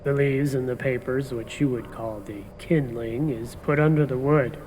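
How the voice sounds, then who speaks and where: A man's voice: mid-range voice, steady tone, moderate pace, darkish tone, big-room sound, a little hiss or hum, lightly acted. A man playing an impatient, dismissive male police detective with a curt, brushing-off manner. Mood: lecturing.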